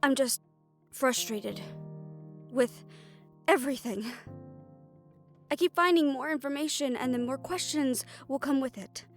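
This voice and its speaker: A woman playing an overworked, sharp-tongued but caring siren-banshee sorceress, venting emotionally in a dramatic audio roleplay. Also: sits high, a little slow, long pauses, faint music behind. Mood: weary, frustrated. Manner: emotional venting, sarcastic and sweary, with heavy sighs.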